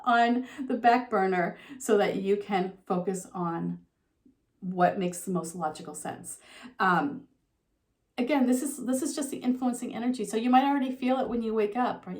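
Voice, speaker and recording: A middle-aged woman giving a relaxed, talking tarot reading to her viewers, her voice a little froggy from a slight sore throat, with frequent ums and you-knows. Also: medium pitch. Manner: soft conversational, with frequent um and you know. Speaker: tarot reader.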